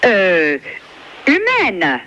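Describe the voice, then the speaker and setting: A woman's voice: medium pitch, huge pitch swings, very slow, pushed and bright. A woman in her fifties from France chatting casually on a phone call, accommodating and hesitant. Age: 50s. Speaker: member of the public receiving prank call. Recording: phone call recording with faint noise.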